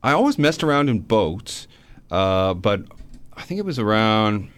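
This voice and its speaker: A man being interviewed about his book in a radio studio, talking in a relaxed, hesitant way with many fillers. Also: fairly low pitch, unhurried, smooth, no echo. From Canada. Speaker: author guest.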